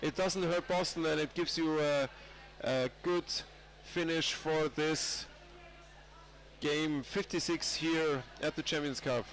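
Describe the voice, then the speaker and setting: A man's voice: fairly high pitch, fairly even intonation, slow pace, a bit bright, faint music behind. A man with a cold commentating a live match, rambling with many fillers and restarts. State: has a cold. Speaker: sports commentator.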